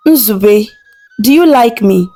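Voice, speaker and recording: A gentle, earnest young woman in love, voiced by a woman narrator in a storybook folktale. Her voice is on the high side and quietly determined, with faint music behind it. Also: lively intonation, takes it slowly. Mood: gentle, hesitant. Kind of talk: storybook character voice.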